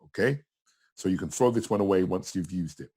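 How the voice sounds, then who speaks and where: A middle-aged man giving a casual step-by-step tutorial in a chatty, simple way, with a husky voice. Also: deep voice, a little fast, a little mellow, faint music behind. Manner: simple, chatty, talking himself through each step. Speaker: tutor in a tutorial video, also acting as the student.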